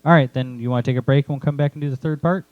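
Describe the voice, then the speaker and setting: A man's voice: medium pitch, fairly even intonation, racing, dry recording, phone-quality sound. A man co-hosting a podcast, chatting casually as he discusses a story with others. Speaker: podcast host and author.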